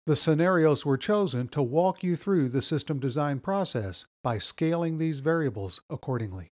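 Guy doing scripted course narration, kinda higher voice, measured instructor explaining stuff. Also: animated.

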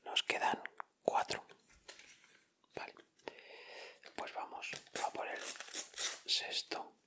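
A man with an accent from Spain whispering softly in casual ASMR commentary, murmuring short, unhurried remarks close to the microphone. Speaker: ASMR video host. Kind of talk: whispered ASMR, casual commentary while opening sticker packs.

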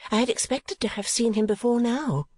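A woman voicing an earnest, timid young woman who speaks softly and haltingly. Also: on the high side, slightly rough, no room sound, voice pushed up.

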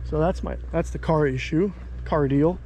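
A man's voice: fairly high pitch, moderate pace, dark tone, a little hiss or hum. A man in his thirties casually vlogging outdoors while walking along a country road, rambling and thinking aloud. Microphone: handheld camera at arm's length.

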